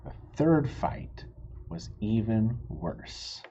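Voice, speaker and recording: A man reading a children's storybook aloud like a teacher, animated and stopping to ask the children questions. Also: fairly low pitch, very slow, lo-fi sound, a little hiss or hum.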